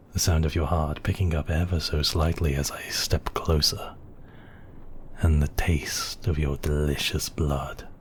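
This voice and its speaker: Man acting as a very deep, seductive vampire count. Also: a little mellow, a little hiss or hum. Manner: intimate, murmuring, often slipping into whispers. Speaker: audio roleplay voice actor. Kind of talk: intimate ASMR roleplay acting.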